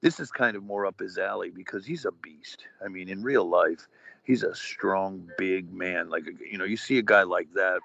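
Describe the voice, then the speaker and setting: A man's voice: sits low, normal speed, creaky, fairly bright tone. A man in his forties chatting casually on a podcast, rambling with lots of fillers and wrestling slang. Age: 40s. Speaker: guest, retired wrestler. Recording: dull lo-fi podcast audio, dry.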